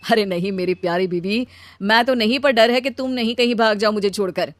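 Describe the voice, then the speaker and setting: A woman's voice: mid-range voice, fairly quick, dry recording, close to an everyday voice. A woman voicing a mild, anxious, put-upon working husband in a cartoon story, with a plaintive, weary manner. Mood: affectionate. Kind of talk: animated cartoon story dialogue.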